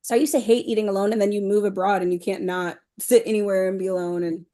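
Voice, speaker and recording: Female podcast guest, medium pitch, expressive and frank, relaxed conversational storytelling. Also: fast. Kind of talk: casual chat, personal anecdotes.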